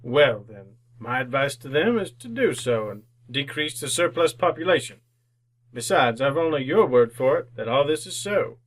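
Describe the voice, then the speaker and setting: A man's voice: medium pitch, very animated, moderate pace, rough recording. An actor playing a miserly, cold-hearted, crotchety older businessman in old-time radio drama style, curt and scornful. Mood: cold, sardonic.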